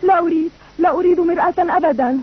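An actress playing a gentle, principled young princess in a cartoon dub, earnest and sweet. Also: female voice, very high voice, racing. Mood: upset. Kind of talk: dubbed cartoon acting. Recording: tinny old cartoon dub, faint noise.

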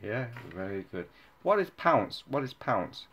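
A man's voice: medium pitch, sing-song, a little slow, fairly bright tone. A man speaking as a father in a patient one-to-one reading lesson, encouraging and repeating words. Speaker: father coaching a child's reading. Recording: noticeable background noise, clicks, home recording.

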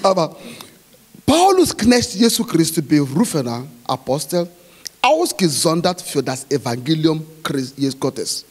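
A man in his forties from Nigeria preaching a sermon in a church hall into a handheld mic, pausing for an interpreter. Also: fairly high pitch, very animated, husky. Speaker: pastor.

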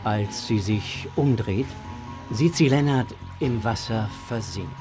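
A man's voice: lowish voice, measured pace, over music. A man narrating a scripted audio drama for children.

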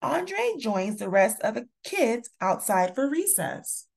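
A woman reading a children's picture book aloud in a deliberate, expressive storytelling manner. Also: a little high, creaky. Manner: slow, deliberate, expressive delivery for young children. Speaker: children's story reader and channel host.

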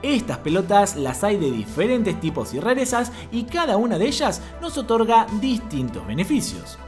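A woman hosting a crypto channel, reading a smooth scripted tutorial voice-over in an even promotional delivery. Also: mid-range voice, normal speed, faint music behind.

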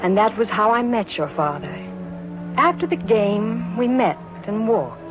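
A woman's voice: medium pitch, sing-song, measured pace. An actress playing an earnest, inquisitive woman who narrates and acts in a 1940s radio drama. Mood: reflective. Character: earnest, inquisitive woman recalling her youthful courtship. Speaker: narrator and leading lady. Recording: muffled 1940s radio recording, music.

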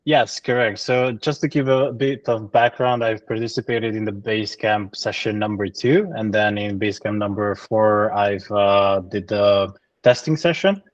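Young male trainer, deep voice, muffled dead-dry call sound. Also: normal speed, no echo. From Romania. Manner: hesitant, many uh fillers. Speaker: course trainer. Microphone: video call. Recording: dull dry call audio.

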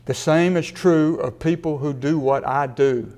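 A man in his sixties from Mississippi, a sports writer delivering a prepared acceptance speech in a measured way with a dry wit. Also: fairly high pitch, a little mellow. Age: 60s. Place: studio with a sponsor backdrop.

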